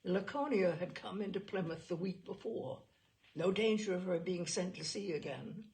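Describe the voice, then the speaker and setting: A woman reading the part of a grieving, warm-hearted naval captain in an audiobook, telling his story earnestly. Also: fairly low pitch, normal speed, lightly acted, rough recording. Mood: subdued, moved.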